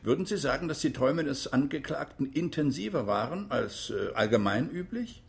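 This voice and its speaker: An actor playing a formal, measured court examiner probing a witness in a radio drama. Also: male voice, medium pitch, lively intonation, creaky. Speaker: courtroom questioner.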